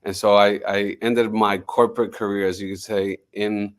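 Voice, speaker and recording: Low-voiced confident businessman. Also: little pitch movement, moderate pace. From Miami, United States. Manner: fluent, confident, with frequent restarts. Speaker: music executive guest. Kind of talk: podcast interview.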